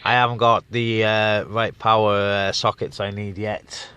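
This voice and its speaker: A man talks casually from inside his parked vehicle, stopping on fillers as he goes. His voice is bright and crisp. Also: lowish voice, level tone. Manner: chatty, with many filler pauses. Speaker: CB radio operator. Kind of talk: casual radio chat and vlog talk.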